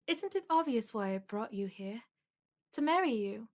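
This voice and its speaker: A woman voicing a lovestruck, clingy undead corpse bride in an ASMR audio roleplay, soft, earnest and pleading. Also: on the high side, expressive, moderate pace, lightly acted. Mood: relieved, tender.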